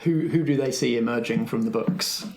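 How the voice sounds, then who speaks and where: A woman's voice: rumbling low, normal speed, darkish tone, soft background music. A woman narrating a tabletop game as game master, in a casual, improvised style. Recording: roomy echo, faint background noise.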